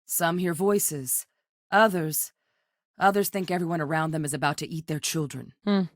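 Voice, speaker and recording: A voice actress playing a composed woman scientist with a military past, speaking in a measured, clipped, matter-of-fact way. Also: sits low, no room sound, studio quality, lightly acted. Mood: steady. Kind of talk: video game voice lines.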